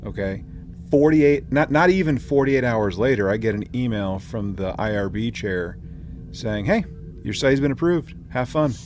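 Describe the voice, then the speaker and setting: A man's voice: mid-range voice, expressive, moderate pace, darkish tone. A man hosting a podcast in casual chat, jokey and informal with his slang. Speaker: podcast host. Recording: clearly noisy room ambience.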